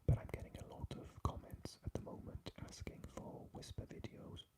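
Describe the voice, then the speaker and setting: A man with a Swansea Welsh accent, chatting softly with live viewers and often dropping into an unvoiced whisper. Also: normal speed. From Wales. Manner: mixes unvoiced whispering with low, deep speaking. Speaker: ASMR live streamer reading chat. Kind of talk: soft-spoken live chat with viewers.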